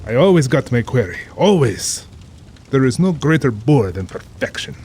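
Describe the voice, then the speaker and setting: An actor playing an urbane, cold-blooded middle-aged general and big-game hunter, speaking formally with pompous, suave amusement in an audio drama. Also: male voice, mid-range voice, very animated, normal speed, darkish tone, slightly rough. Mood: suave, boastful.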